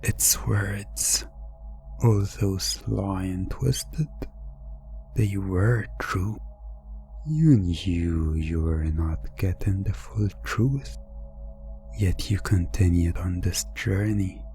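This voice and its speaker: A man narrating a scripted hypnosis ASMR story in a hushed, deliberate, dramatic manner. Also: sits low, faint music behind. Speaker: narrator of a hypnosis audio roleplay.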